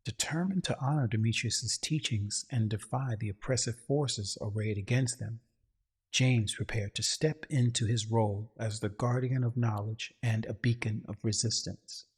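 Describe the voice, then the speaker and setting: A husky-voiced man reading a dramatic scripted story in a solemn, grandiose way. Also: medium pitch, normal speed. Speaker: narrator.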